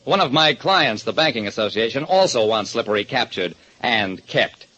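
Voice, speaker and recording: An actor playing a private detective who narrates in a dry, deliberate way in a radio drama. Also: male voice, medium pitch, sing-song, pushed and bright. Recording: dull lo-fi old radio broadcast.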